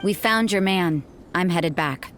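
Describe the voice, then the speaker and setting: A woman's voice: lowish voice, unhurried, close to an everyday voice. A woman voicing a cool, cynical church sister in a video game, dry and aloof. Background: game music.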